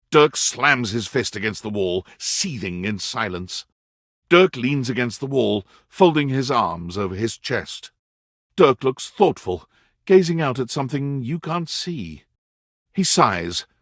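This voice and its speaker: A man narrating a video game in a measured, articulate, scripted storytelling delivery. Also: medium pitch, a bit bright, no room sound, studio quality, exaggerated voice. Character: male narrator of a relationship drama game. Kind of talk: scripted second-person narration.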